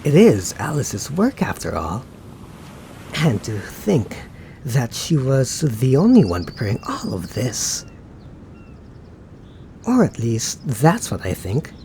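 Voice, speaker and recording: A man playing a suave, teasing, laid-back young knight in an ASMR roleplay, talking to the listener in an unhurried, musing way. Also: medium pitch, huge pitch swings, dark tone, a little hiss or hum, heavily acted. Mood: musing, fond.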